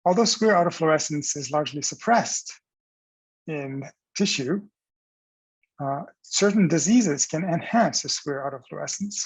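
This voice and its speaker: A man presenting scientific research as explanatory narration over slides, pausing mid-phrase in a halting delivery. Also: a little high, expressive, husky, dry recording, rough recording.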